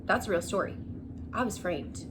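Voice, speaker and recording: A woman's voice: mid-range voice, normal speed, background noise, natural voice. A woman voicing a male wolf who tells his own storybook tale in an aggrieved, self-justifying, conversational and dramatic way. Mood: indignant. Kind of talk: children's storybook read-aloud.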